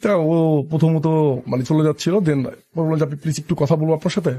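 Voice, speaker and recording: Mellow young Bangladeshi man, halting and colloquial. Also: on the high side, steady tone, dry recording. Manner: halting, colloquial, mixes in English words. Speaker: interview guest. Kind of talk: casual personal storytelling.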